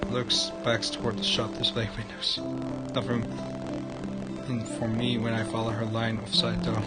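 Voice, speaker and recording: A man's voice: mid-range voice, completely flat delivery, normal speed, lightly acted. A man playing a wry, introspective young photographer narrating his thoughts in a visual novel. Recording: loud music over the voice.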